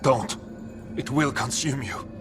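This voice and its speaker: An actor playing a grave dwarven man in a video game, speaking formally and solemnly in measured phrases. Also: mid-range voice, lightly acted. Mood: urgent warning. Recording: music under the voice. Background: game music.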